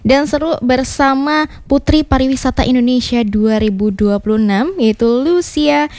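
A woman's voice: high-pitched, moderate pace, a little mellow, no echo. Dry-sounding woman radio announcer.

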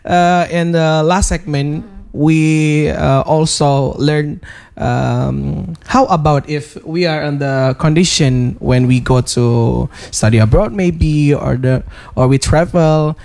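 A woman with an Indonesian accent hosts a casual chat in slow, unhurried English. Her voice sits a bit low, and she hesitates often and repeats herself while finding her words. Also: creaky, mellow. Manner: hesitant, frequent fillers and repeats. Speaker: host.

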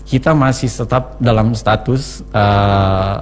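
A man with a South Sulawesi Indonesian accent giving explanatory answers in a radio interview, emphatic and full of rhetorical questions, halting to find words. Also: lowish voice. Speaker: government official, interview guest. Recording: clear background noise with hum.

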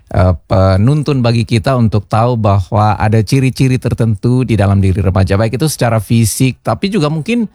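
A man with a Kupang accent hosting a radio talk show, measured and affirming, guiding the guests. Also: fairly low pitch, mellow, no room sound, studio quality. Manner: measured, affirming with frequent backchannels.

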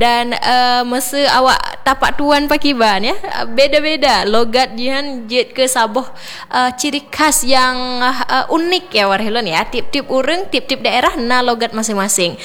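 High-voiced Acehnese woman hosting radio, heavy reverb. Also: fairly bright tone. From Aceh, Indonesia. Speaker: radio host. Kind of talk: live radio phone-in hosting, casual chat. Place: radio studio. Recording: long reverberant hall-like echo.

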